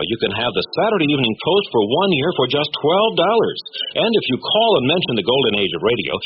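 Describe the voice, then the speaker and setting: An American man reading a scripted radio magazine advertisement as an announcer. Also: medium pitch. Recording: dull old radio tape, noisy.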